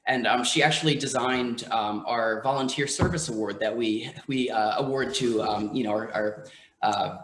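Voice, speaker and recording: A man's voice: medium pitch, moderate pace, fairly bright tone. A man speaking as a museum curator on a panel, hesitant with many fillers, recorded in a museum room. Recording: echoey room.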